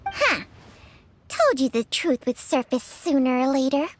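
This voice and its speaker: A woman's voice: high-pitched, sing-song, measured pace, slight background noise. A woman voicing a wise old female snake spirit in a video game, speaking in a wry, knowing way.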